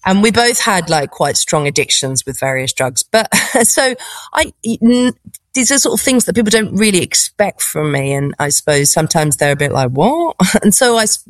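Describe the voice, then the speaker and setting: A woman's voice: on the low side, expressive, moderate pace, no echo. A woman with a posh English accent, a stand-up comedian chatting in an interview with frequent laughs and restarts. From England. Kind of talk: casual interview.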